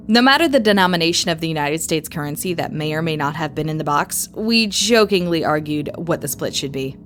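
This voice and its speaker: Lower-pitched woman narrating creepypasta over music. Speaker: horror story narrator. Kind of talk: scripted first-person creepypasta narration.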